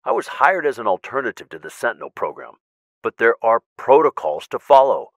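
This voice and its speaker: An actor playing a cautious, principled weapon inventor in an audio drama, measured and technical, emphatic when he objects. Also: mid-range voice, very animated, dry recording, studio quality. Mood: worried, frustrated.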